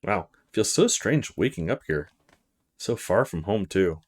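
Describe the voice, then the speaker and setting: A man's voice: deep voice, sing-song, a little slow, heavily acted. A man voicing a polite young male student in a visual novel, thinking to himself in casual short fragments. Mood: musing. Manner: casual inner monologue with short musing fragments.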